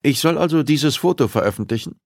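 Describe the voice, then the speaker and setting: A male narrator plays a reluctant male newspaper publisher in an audiobook. He sounds worried, wary and grudging, pitched well up. The recording is close and dry. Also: lively intonation, fast talker, vocal fry, no room sound. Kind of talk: audiobook dialogue acting.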